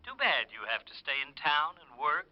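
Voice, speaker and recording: An American man playing a gruff, teasing police lieutenant in a radio drama, with dry, clipped delivery. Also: fairly high pitch, big pitch swings, normal speed. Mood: smug, teasing. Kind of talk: 1950s radio drama acting. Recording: muffled old radio broadcast recording.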